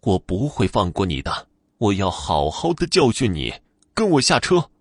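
A man voicing a sly, menacing old woman ghost in a horror story, with a drawn-out, taunting delivery. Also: low-pitched, big pitch swings, vocal fry, no echo, studio quality, heavily acted. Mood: fierce. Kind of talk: audio horror storybook character voice.

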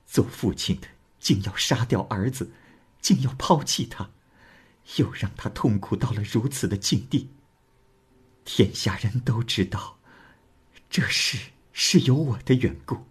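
A man's voice: on the low side, unhurried, slightly rough. A man voicing a remorseful young woman courtesan who speaks earnestly and pleadingly.

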